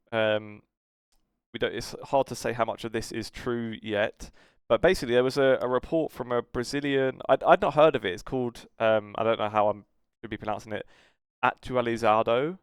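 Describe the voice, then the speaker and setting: A man's voice: medium pitch, clear, resonant tone, no room sound. A man with a British accent co-hosting a casual esports podcast, talking in a rambling, hesitant way that trails off. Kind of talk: casual esports news discussion.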